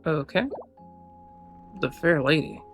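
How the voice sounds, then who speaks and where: A woman streamer with a low voice, talking casually through a game playthrough. She muses aloud in short, offhand reactions while music plays faintly underneath. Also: slow pace. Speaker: gaming streamer.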